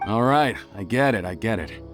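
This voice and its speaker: A man's voice: lowish voice, lively intonation, moderate pace, loud music behind the voice, lightly acted. A man voicing an ordinary townsman in a video game, conversational and a little hesitant, reluctant but polite. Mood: dismissive.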